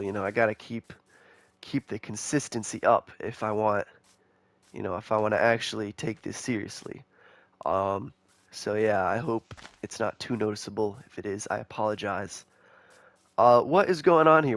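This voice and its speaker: A young man giving casual, rambling commentary over a game, excitable and chatty with viewers, his voice a little nasal from a cold. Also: on the low side, dry recording. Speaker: gaming YouTuber.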